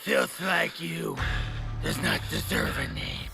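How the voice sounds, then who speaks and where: An actor playing a cruel, bored villain in a video game, taunting slowly and contemptuously in a gravelly voice. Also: male voice, medium pitch, wildly swooping pitch, pushed and bright, faint music behind, full character voice. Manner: slow, contemptuous, drawn-out taunting. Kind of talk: video game cutscene acting.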